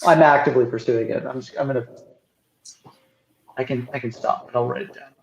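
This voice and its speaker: A man speaking as an engineer in a casual technical work call, thinking aloud in halting phrases as he explains details. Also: medium pitch, steady tone. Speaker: software engineer on a team call. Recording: roomy, dull lo-fi call audio.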